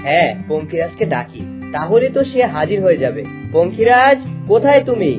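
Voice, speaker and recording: A kindly, brave young hero of a cartoon fairy tale, voiced by a man. His delivery is confident and very animated, rising and falling freely. Plucked-string music is clearly heard behind him. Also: sits high, brisk. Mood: kindly, determined. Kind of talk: cartoon story dubbing.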